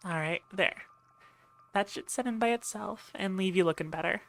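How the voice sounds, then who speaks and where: A woman's voice: medium pitch, moderate pace, natural voice. A woman playing a brusque, sarcastic ship medic in an ASMR roleplay, dry and impatient, talking straight to her patient. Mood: businesslike. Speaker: voice actor in audio roleplay. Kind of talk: ASMR roleplay acting, speaking to listener.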